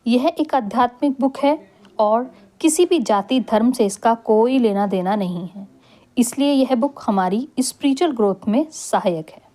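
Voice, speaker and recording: A woman's voice: on the high side, a little slow, warm tone. A woman giving a scripted introduction as host of a spiritual audiobook channel.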